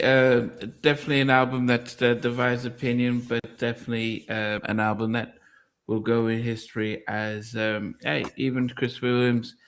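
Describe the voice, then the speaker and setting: A man hosts a relaxed podcast, wandering through his thoughts with ums and restarts. He laughs easily with his co-hosts. His voice sits at a middle pitch. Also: a little hiss or hum. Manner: rambling, many fillers and restarts, laughs easily. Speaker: podcast host. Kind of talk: casual podcast chat.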